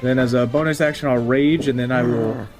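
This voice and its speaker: Male tabletop gamer, mid-pitched, casual first-person action calls over clear background music. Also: fairly even intonation, brisk. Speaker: tabletop game player. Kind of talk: describing character actions at the game table.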